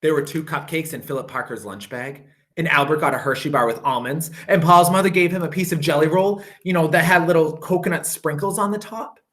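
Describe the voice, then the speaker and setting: A man, a teacher, reading a children's picture book aloud with expressive, drawn-out emphasis on repeated phrases. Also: fairly high pitch.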